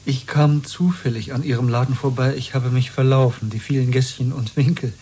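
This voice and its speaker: An actor playing a reserved, guarded party member in a radio drama, terse and evasive. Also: male voice, mid-range voice, steady tone, fairly quick, warm tone, slight background noise, natural voice. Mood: guarded, hesitant. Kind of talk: 1970s German radio drama acting.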